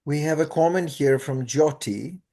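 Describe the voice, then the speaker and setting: A man's voice: on the high side, moderate pace, mellow. A man reading a chat comment aloud in an online meeting.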